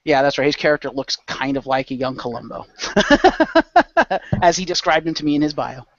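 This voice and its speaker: A man's voice: a little high, expressive, moderate pace, dry recording. A man hosting and narrating a role-play show as game master in a loose, informal way. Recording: dull lo-fi close microphone.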